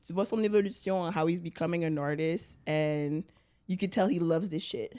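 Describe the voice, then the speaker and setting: A woman's voice: fairly low pitch, steady tone, normal speed, mellow, clean tone. Breezy woman, slangy chatter. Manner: breezy, slangy, mixes French and English. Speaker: podcast co-host. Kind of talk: casual chat.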